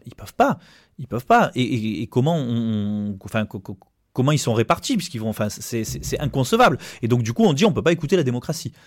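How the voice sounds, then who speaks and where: Male, mid-pitched, close dry sound. Argumentative interviewee, emphatic, doubles back on words. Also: sing-song, no room sound. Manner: halting, repeats words, emphatic. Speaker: trade union representative.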